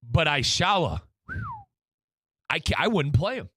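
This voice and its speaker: A man's voice: fairly high pitch, very animated, a little slow, bright, forceful tone, no echo, studio quality. A man hosting a soccer talk show in a casual, opinionated and emphatic way. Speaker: soccer show host and radio play-by-play commentator.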